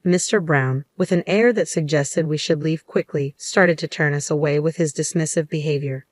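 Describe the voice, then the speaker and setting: A woman reads a scripted first-person story as its narrator. Her voice is notably low, and the recording is close and dry, with no room sound. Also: normal speed, no room sound.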